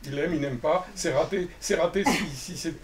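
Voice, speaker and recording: A man who works as a literary translator, speaking at a bookshop panel in a measured, reflective way with frequent restarts. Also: on the high side. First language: not Romanian. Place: bookshop event. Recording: distant mic with faint ambient noise.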